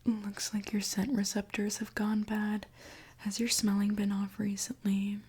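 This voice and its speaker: A woman doing an ASMR roleplay as a gentle mechanic, speaking in a soft, reassuring, attentive way. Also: mid-range voice, monotone, measured pace, breathy edge. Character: gentle mechanic repairing a robot client. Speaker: ASMR creator.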